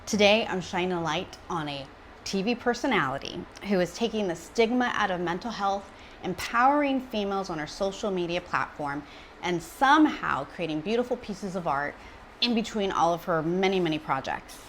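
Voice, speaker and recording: A woman hosting an interview show, giving an upbeat introduction and encouraging her guest with quick responses. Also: on the low side, expressive, slight background noise. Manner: bubbly, encouraging, quick back-channel responses.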